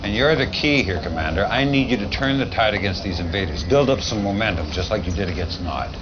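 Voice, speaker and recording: A man's voice: lowish voice, lively intonation, a little fast, close to an everyday voice. An actor playing a blunt, weary general briefing his commander in a video game cutscene. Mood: determined. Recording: dull lo-fi audio, clear background noise.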